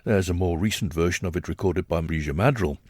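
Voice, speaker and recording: A man presenting a radio programme, narrating in a measured, reflective way. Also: low voice, a little mellow, dry recording, studio quality.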